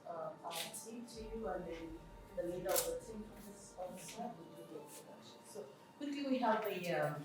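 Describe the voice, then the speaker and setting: A woman speaking for a visiting delegation, briskly introducing officials by title. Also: mid-range voice. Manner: brisk, formal, reads out titles. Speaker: delegation spokesperson. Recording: echoey room from far off.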